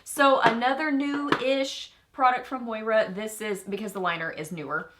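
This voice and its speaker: A woman's voice: a little high, normal speed, noisy background. Bubbly Texan woman reviewing makeup. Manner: bubbly, chatty, easily excited, with rambling asides. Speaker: beauty vlogger.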